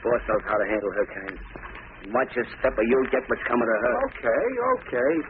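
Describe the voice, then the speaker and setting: Guy doing old radio show mobster, tough slangy talk, real fast, lots of voices talking over it. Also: mid-range voice, animated, narrow, tinny audio, a crowd in the background, natural voice. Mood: threatening. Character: tough, jumpy male gangster henchmen and boss. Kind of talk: 1940s radio crime drama acting.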